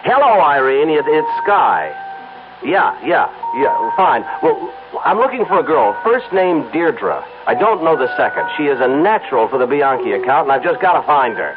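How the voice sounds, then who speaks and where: A man's voice: fairly high pitch, sing-song, normal speed. An actor playing a strained, obsessive advertising man in a 1970s radio drama, talking in a clipped, evasive way. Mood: brisk. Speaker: lead character in a radio play. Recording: tinny, music-backed old radio broadcast.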